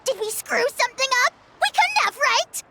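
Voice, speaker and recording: A woman voicing a small, excitable female imp in a video game, cheeky and haughty, with theatrical taunting delivery. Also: extremely high pitch, normal speed, heavily acted. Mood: worried. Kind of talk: video game character acting. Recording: music behind.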